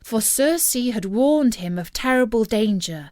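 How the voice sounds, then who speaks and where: A woman narrating a scripted children's story in a measured, expressive storyteller's manner. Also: on the high side, no room sound, clean studio sound.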